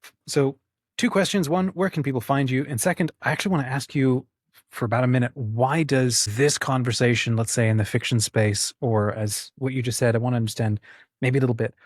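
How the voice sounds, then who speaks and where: Male interviewer, casual and slightly hesitant, rough-edged husky tone, close dry recording. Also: mid-range voice, fairly even intonation, a little fast, dry recording. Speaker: interview host.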